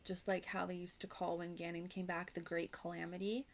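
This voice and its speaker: A woman's voice: on the low side, flat delivery, fairly quick, creaky. A woman streaming a game with casual, slangy commentary, rambling as she reacts aloud.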